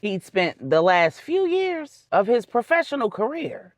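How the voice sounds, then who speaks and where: A woman's voice: fairly high pitch, sing-song, a little slow, no room sound, studio quality. A woman narrating a scripted drama story for adults in a dramatic, colloquial storytelling manner with slangy touches.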